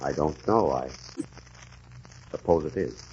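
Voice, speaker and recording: An actor playing a troubled middle-aged gentleman recalling his shell-shocked past, earnest and hesitant, in radio drama style. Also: very deep voice, animated. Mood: weak, confused. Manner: earnest, halting and hesitant in dialogue. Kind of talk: 1940s radio drama acting, dramatic first-person narration. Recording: muffled, noisy 1940s radio recording.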